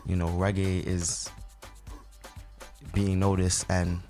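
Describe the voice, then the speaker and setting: A man's voice: low-pitched, completely flat delivery, takes it slowly, darkish tone, faint music behind. A man from Barbados with an accent that slips between Bajan and American, answering interview questions in a laid-back, rambling way full of fillers. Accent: Barbadian mixed with American. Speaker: soca artist.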